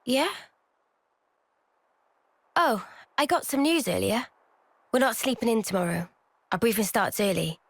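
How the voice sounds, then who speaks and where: An actress voicing a gentle, caring young female soldier in a video game cutscene. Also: on the high side, sing-song, measured pace, pauses often, dry recording, full character voice. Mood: gentle concern.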